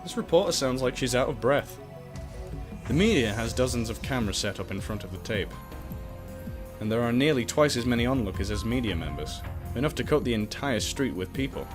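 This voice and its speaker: A man hosting a let's play, reading game text aloud and breaking into jokey, exclamatory asides. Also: mid-range voice. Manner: jokey, exclamatory, swings between reading and goofy outbursts. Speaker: host of a game playthrough series.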